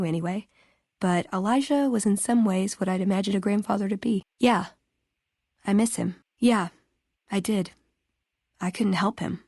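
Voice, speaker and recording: Young woman scribe game companion, wry n chatty, idealistic, mid pitch, super dry studio sound. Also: darkish tone, no room sound. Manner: conversational, dry humour, sardonic asides. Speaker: voice actress in a video game. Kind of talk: acted video game companion dialogue.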